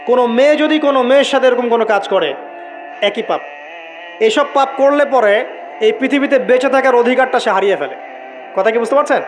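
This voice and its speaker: High-pitched Bangladeshi male preacher over music. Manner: emphatic, repeats key phrases, asks rhetorical questions. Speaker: Islamic preacher. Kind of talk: waz sermon to an audience.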